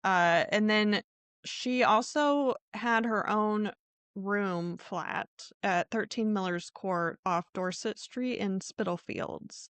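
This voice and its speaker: A woman's voice: mid-range voice, slow, a bit bright, clear, resonant tone, no room sound. A woman hosting a podcast, telling true crime stories in an informal, conversational way with a hedging manner. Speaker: podcast host.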